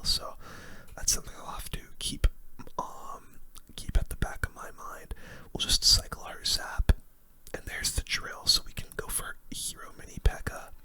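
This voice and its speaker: A young man speaks in a steady whisper, very bright and sibilant. He gives informal, relaxed ASMR game commentary right up close to the microphone. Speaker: gaming video creator.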